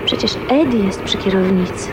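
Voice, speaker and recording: A woman's voice: mid-range voice, moderate pace, very noisy, lightly acted. An actress playing a shy, sheltered young woman in a radio drama, earnest and exclamatory. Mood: thrilled. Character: shy, sheltered 29-year-old woman newly tasting freedom.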